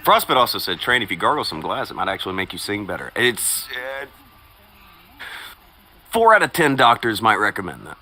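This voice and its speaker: A man's voice: on the low side, big pitch swings, fairly quick, pushed and bright. A man co-hosting a live stream, chatting casually, reading viewer comments aloud and telling crude, profane stories with a teasing edge. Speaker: stream co-host.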